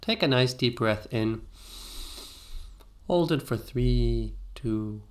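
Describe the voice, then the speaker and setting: A man narrating a guided meditation in a soothing, gently instructive way with pauses between phrases. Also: medium pitch, expressive, a little hiss or hum.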